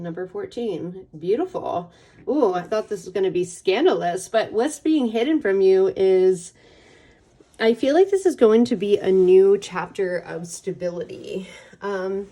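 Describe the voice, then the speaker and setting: Woman doing a chatty tarot reading, soft mellow tone, little raspy from a cold, kinda far from the mic. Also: medium pitch. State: getting over a cold or allergies. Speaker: tarot reader hosting a pick a card channel. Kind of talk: casual chatty card reading.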